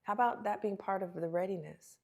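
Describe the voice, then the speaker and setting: A woman's voice: mid-range voice, brisk, creaky, mellow. A woman speaking as a guest expert in an interview, teaching emphatically with rhetorical questions and acted-out remarks. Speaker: guest relationship expert and author. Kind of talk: animated interview talk, teaching with role-played questions.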